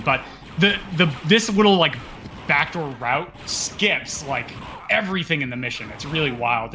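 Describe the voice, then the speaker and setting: A man's voice: a little high, bright, forceful tone. A man giving casual, explanatory live commentary on a video game speedrun.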